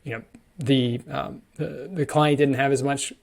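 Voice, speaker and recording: A man giving a conversational, explanatory walkthrough of software, as a product demonstrator would, stressing key words as he goes. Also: mid-range voice, fairly even intonation, measured pace, gravelly. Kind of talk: screen-share software demo, explanatory walkthrough.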